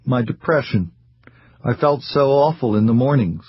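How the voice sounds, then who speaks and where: A man's voice: medium pitch, moderate pace, breathy edge, close to an everyday voice. A man doing a dull, mumbling depressed husband. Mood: dull, plaintive. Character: depressed, timid, passive middle-aged husband.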